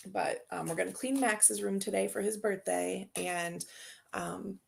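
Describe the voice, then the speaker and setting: A woman's voice: medium pitch, unhurried, creaky. A woman hosting a tarot channel, chatting casually and rambling as she unboxes decks and answers viewer comments.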